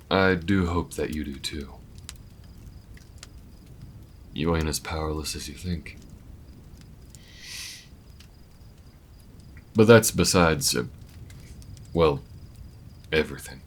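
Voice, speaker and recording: A man playing an easygoing, weathered alien bounty hunter in an intimate audio roleplay, talking in a folksy, unhurried way with murmured asides. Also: very deep voice, slight background noise. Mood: reassuring, frank.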